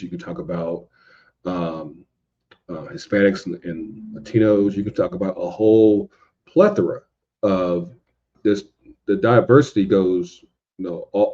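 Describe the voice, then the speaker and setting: Male podcast guest, deep and dark-toned, conversational, feels his way through each point. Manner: halting, circling back over points. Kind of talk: casual podcast discussion. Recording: dull, lo-fi voice track.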